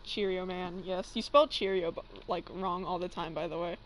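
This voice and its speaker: A woman's voice: fairly low pitch, fairly even intonation, brisk. Blunt young woman, slightly noisy mic. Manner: blunt, teasing, sweary. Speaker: livestream co-host and fan fiction reader. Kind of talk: casual chat on a livestream. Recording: noisy amateur livestream microphone.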